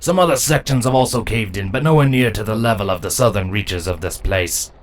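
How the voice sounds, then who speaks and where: Man playing a changeling soldier, low pitch, dutiful, hesitant, nervous, respectful, fantasy storybook acting. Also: brisk, close to an everyday voice. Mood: dutiful, reporting. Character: dutiful, anxious male insect drone captain. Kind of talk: dramatic fan fiction audio reading.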